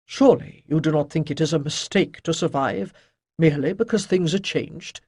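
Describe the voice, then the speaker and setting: A narrator voicing a wise old male priest in a fantasy storybook, calm and gently chiding, with measured, weighty delivery. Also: mid-range voice, breathy edge, no room sound, studio quality. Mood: gentle, earnest. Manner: measured, declamatory, pausing for weight.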